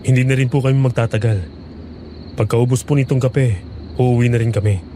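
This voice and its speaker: A man's voice: medium pitch, steady tone, fairly quick, dark tone, slight background noise, lightly acted. A man playing a serious, earnest young farmer in a horror audio drama, often questioning. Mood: earnest, worried. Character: serious young farmer searching for his sibling.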